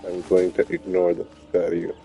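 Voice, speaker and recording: A man's voice: deep voice, completely flat delivery, normal speed, creaky, dark tone, soft background music. A man giving casual gameplay commentary as a streamer, muttering dry, sarcastic asides. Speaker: streamer playing a video game.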